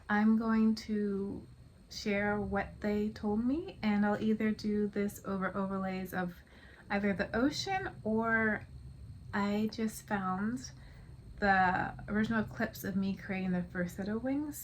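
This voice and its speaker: Woman, mid-range voice, casual vlogger, confiding, halting rambling storytelling, noticeable background noise. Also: mid-range voice. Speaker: vlogger telling her personal story. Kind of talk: casual, confiding monologue to viewers.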